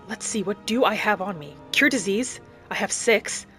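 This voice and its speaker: A woman hosting a gaming channel, narrating her roleplay in character in a measured, earnest storytelling way. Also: medium pitch, fairly bright tone. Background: background music.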